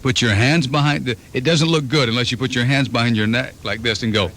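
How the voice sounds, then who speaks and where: A man's voice: medium pitch, fast talker, a bit bright. Male radio host, joking and storytelling, 1991 FM aircheck sound. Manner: storytelling, playful, slips into voices. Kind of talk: fast joking radio banter. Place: radio studio.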